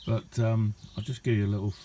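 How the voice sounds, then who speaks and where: A middle-aged man, giving a casual, halting and unhurried hands-on demonstration as a radio hobbyist. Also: on the low side, level tone, heavy background noise. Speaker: radio hobbyist showing a homemade receiver. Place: indoor hobby radio room.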